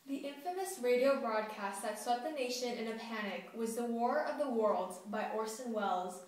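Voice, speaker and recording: A woman's voice: a little high, lively intonation, normal speed, a little mellow. A woman narrating a scripted newscast. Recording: very echoey, distant mic.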